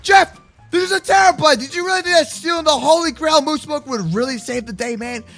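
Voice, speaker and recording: A man hosting an excited, improvised Minecraft roleplay for kids, exclaiming and repeating himself in slangy chatter. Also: extremely high pitch, fast talker, faint music behind. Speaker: host of a gaming roleplay video.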